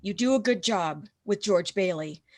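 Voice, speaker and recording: A woman playing an authoritative senior angel in a radio play, measured and matter-of-fact. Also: mid-range voice, expressive. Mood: stern, instructive. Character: calm, authoritative senior angel instructing an apprentice. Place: video call.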